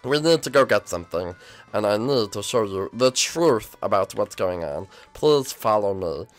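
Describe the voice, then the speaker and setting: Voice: mid-range voice, lively intonation, moderate pace, faint music behind, natural voice. A performer voicing a young male prince who pleads and explains, hesitant and full of fillers, in a homemade toy show. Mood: pleading. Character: young male turtle-dragon prince, the villain's son.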